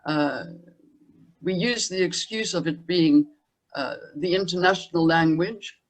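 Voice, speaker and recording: A man with a South African accent speaking formally and haltingly in a panel discussion. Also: fairly high pitch, low-quality recording.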